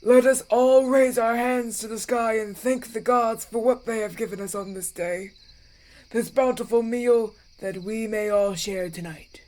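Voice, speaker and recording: A man's voice: very high-pitched, fairly even intonation, measured pace, darkish tone. A man playing a gracious, benevolent elven elder in a fantasy audio roleplay, speaking in a ceremonial, kindly way.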